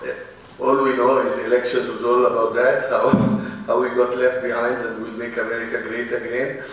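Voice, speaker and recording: A man introducing a guest speaker in a halting, lightly joking way. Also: mid-range voice, little pitch movement, fairly bright tone, dull audio. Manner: halting, many fillers and restarts, joking. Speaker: event host introducing speaker. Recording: echoey room from far off.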